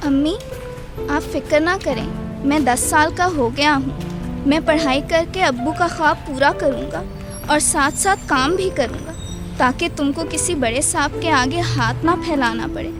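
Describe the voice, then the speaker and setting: Devoted little son for radio drama, voiced by a woman: high, pleading, sincere, over music. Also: measured pace. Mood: determined, consoling. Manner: earnest, pleading. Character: earnest, devoted ten-year-old son. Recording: music behind the voice.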